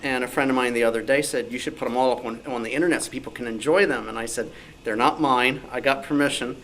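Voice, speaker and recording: A man's voice: mid-range voice, fairly even intonation, fast talker, fairly bright tone. A man speaking as an author and researcher, telling anecdotes in a panel talk at a club meeting. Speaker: author and researcher of old-time radio, panel guest. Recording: clearly noisy live room.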